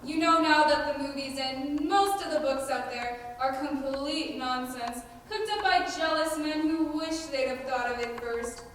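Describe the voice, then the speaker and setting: Girl as high-voiced blunt outlaw woman, echoey hall. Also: a little slow, few pauses, fairly bright tone. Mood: impatient, amused. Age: teenager. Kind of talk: school stage play acting. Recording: distant, echoey stage recording with noise.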